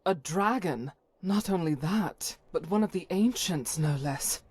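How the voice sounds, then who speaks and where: A woman voicing a devout, principled warrior companion in a fantasy game, formal, measured and earnest. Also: lowish voice, animated, a little mellow, slightly rough, exaggerated voice. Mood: thoughtful, uneasy. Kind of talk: scripted fantasy game dialogue.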